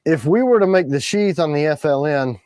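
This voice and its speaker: A man with a raspy voice, tired in the morning, co-hosting a casual product livestream and explaining the details of the items he shows. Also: fairly high pitch, expressive, a little fast, darkish tone, no echo, studio quality. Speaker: co-host of a knife company livestream. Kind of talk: casual live chat about products.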